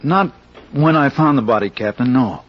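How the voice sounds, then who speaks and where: An actor playing a flustered, amiable amateur detective in an old-time radio mystery, stammering and hesitant, with a husky voice. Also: male voice, medium pitch, normal speed, natural voice. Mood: sheepish. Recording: dull old radio recording.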